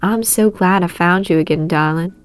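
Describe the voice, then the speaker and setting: A woman's voice: on the low side, moderate pace, close to an everyday voice. Woman voicing a cheeky, confident teenage girl. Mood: affectionate.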